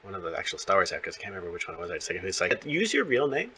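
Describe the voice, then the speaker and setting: A man's voice: sits low, huge pitch swings, very fast, sharp tone, slightly rough. A man in his thirties hosting a casual interview in a chatty, rambling way. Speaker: interview host.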